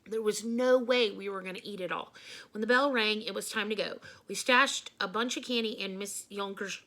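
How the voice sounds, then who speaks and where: Bright-voiced woman reading a children's story aloud. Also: mid-range voice, moderate pace, rough recording. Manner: expressive, emphatic storytelling.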